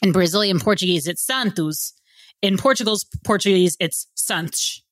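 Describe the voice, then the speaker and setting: A woman's voice: lowish voice, moderate pace, dry recording. An American woman in her thirties, a podcast guest telling travel stories in casual chat and laughing often. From United States. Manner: animated storyteller, laughs often.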